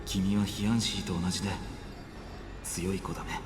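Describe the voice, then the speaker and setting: An earnest, gentle young hero, voiced by a man, speaks sincerely in a dramatic game scene. His voice rings with reverb and loud music plays underneath. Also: low-pitched, level tone, fast, close to an everyday voice. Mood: tender. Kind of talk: dramatic Japanese game voice acting.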